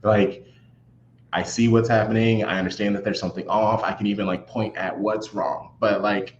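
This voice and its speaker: A man's voice: on the low side, little pitch movement, moderate pace, muffled recording. A man chatting casually as a guest on a podcast. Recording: roomy, echoey, noisy background.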